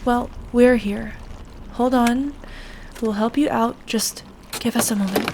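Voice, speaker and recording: A woman's voice: on the high side, steady tone, unhurried, noisy background. A woman playing a caring young friend in an audio roleplay, soothing and plain-spoken. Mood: concerned, helpful.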